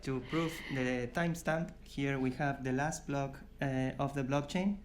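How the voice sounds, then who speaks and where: A man in his thirties from Argentina, calmly narrating a home video in a formal announcing manner. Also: medium pitch, unhurried, a little hiss or hum. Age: 30s. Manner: calm, formal, announcing details.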